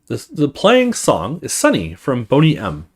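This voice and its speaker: A man reading a horror visual novel aloud as a let's play host, with expressive storytelling and casual asides. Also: mid-range voice, unhurried, husky.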